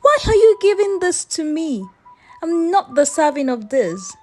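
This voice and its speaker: A woman's voice: very high-pitched, very animated, a little slow, darkish tone, voice pushed up, full character voice. A woman voicing a bold, defiant young village maiden from a folktale, emphatic and challenging. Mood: shocked.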